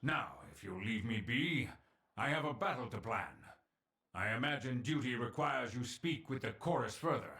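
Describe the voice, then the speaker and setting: A man playing a proud, stern military commander in a fantasy game, speaking in a formal, imperious, measured way. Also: mid-range voice, lively intonation, sharp tone. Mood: commanding, curt. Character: stern, proud male military commander, disdainful of his allies. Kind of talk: fantasy video game voice acting.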